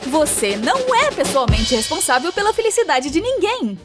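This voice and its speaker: A Brazilian woman narrating an animated storytime video in a casual, colloquial, expressive way. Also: high voice, moderate pace. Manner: colloquial, acts out quotes and dialogue. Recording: faint background music. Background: music.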